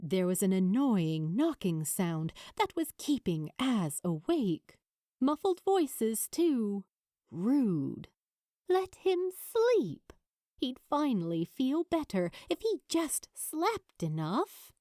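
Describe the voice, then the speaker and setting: A woman reading a story aloud as an expressive audiobook narrator, with dramatic, weighted pauses. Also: on the high side, dry recording, clean studio sound. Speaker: fan fiction narrator.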